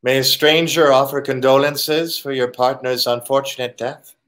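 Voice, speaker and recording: A man playing a courteous but menacing foreign gentleman crook in a 1940s-style radio drama, with formal, deliberate phrasing. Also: mid-range voice, steady tone, lightly acted. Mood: polite, insinuating.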